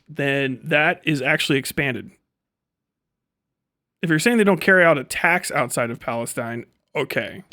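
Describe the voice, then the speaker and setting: A man's voice: on the high side, fairly bright tone, no echo, studio quality. A man doing casual livestream commentary, talking in an emphatic, profane, ranting way. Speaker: livestream host.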